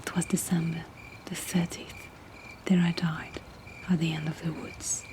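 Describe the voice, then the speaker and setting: A woman reading poetry in a hushed, breathy whisper, with measured and deliberate delivery. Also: low voice, flat delivery. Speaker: poetry reader and host.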